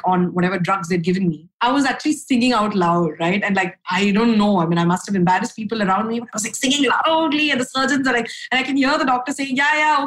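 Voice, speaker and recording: A young adult woman from India, a podcast guest speaking frankly and upbeat, with a low voice for a woman and casual fillers. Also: a bit bright, low-quality recording. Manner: frank, upbeat, lots of fillers and "right?" tags. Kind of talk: candid conversational storytelling.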